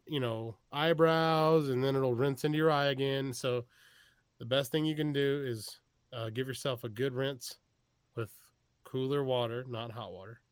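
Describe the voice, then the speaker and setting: A man's voice: medium pitch, moderate pace, clean tone, dry recording. Texas guy guesting on a podcast, keeps restarting himself, dry close sound. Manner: rambling, frequent restarts, chuckles. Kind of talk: casual chat.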